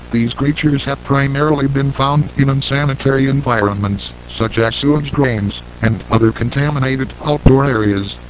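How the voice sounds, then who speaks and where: A man delivering a scripted emergency warning as an announcer, in a formal, measured and impersonal manner, in analog horror style. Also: medium pitch, slight background noise, poor audio.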